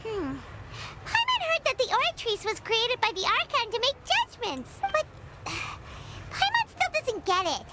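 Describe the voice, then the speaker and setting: A woman voicing a tiny, chatty, childlike fairy companion in a video game, with a squeaky, exclaiming delivery. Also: huge pitch swings, unhurried, very noisy, exaggerated voice. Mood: puzzled, curious. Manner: speaks of self in third person, exclaiming. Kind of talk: video game character acting. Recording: loud game music underneath.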